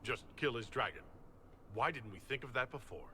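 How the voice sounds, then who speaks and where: An actor playing a defiant, sardonic hero in a fantasy game, assertive and wry. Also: male voice, medium pitch, brisk, pushed and bright, husky. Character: defiant, sardonic male hero leading an army.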